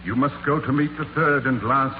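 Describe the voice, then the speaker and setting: An actor playing a solemn, ominous ghost who pronounces doom in a deliberate, declamatory 1940s radio style. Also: male voice, mid-range voice, noisy background, close to an everyday voice. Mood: grave. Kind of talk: 1940s radio drama acting. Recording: tinny, band-limited 1940s radio recording.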